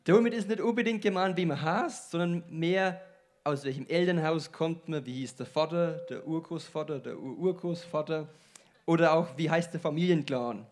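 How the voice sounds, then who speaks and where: The man with the Franconian accent and higher voice you'd hear introducing guest speakers on stage at a summer camp. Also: normal speed, a little mellow. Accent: Franconian German. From Franconia, Germany. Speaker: event host interviewing speakers on stage. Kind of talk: light-hearted stage interview.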